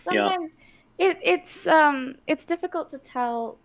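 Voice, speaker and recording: A woman chatting on a live stream through a close personal microphone, halting and restarting her sentences. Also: sits high. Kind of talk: casual chat.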